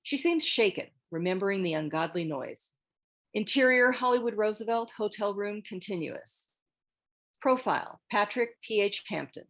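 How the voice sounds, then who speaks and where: A woman's voice: mid-range voice, animated, normal speed, a bit bright. Female narrator for a script table read: mid-range voice, scripted delivery.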